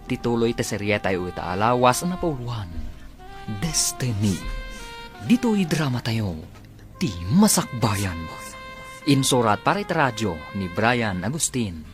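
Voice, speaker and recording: Lively Ilocano radio drama announcer, a man. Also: mid-range voice, measured pace. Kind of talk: scripted radio serial narration. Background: music.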